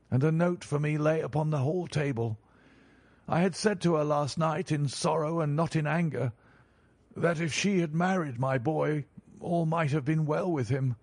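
A man reading a story, voicing a distraught elderly male banker who pleads in a higher, quavering voice. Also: gravelly, a little mellow, slightly rough, no echo.

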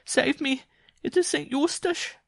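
A man's voice: very high voice, unhurried, vocal fry, a bit bright, dry recording, studio quality, pitched above the performer's own voice, heavily acted. A man voicing a terrified wife in an audiobook story, sobbing and imploring. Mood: terrified, pleading. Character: frantic pleading circus woman, terrified wife.

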